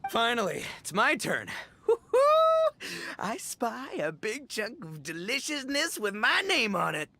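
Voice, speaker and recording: Video game gang boss: young, cocky, boisterous goofball, bright forceful voice, huge pitch swings. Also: male voice, wildly swooping pitch, unhurried, exaggerated voice. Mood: gleeful. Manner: slangy, exuberant, showy.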